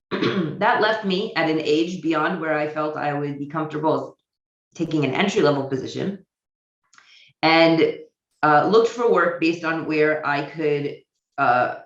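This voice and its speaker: An American woman speaks at a virtual conference, her voice muffled and a little roomy, as if heard through a video call. She talks with a thoughtful, hesitant pace, pausing on ums and restarting sentences as she works out her thoughts. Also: deep voice. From United States. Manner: thoughtful, many fillers and restarts. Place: home office in Israel.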